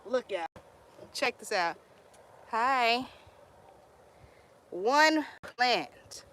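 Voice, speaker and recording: A woman's voice: a little high, expressive, drawn-out words, a bit bright. A young woman with a Texan accent, chatting casually on a live stream from her garden, greeting viewers and telling stories in Southern slang. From Texas, US. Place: outdoor garden.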